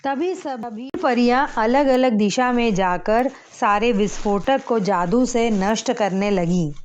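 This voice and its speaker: A woman storyteller reading a scripted children's moral tale, measured and phrase by phrase. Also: a little high, darkish tone, muffled recording.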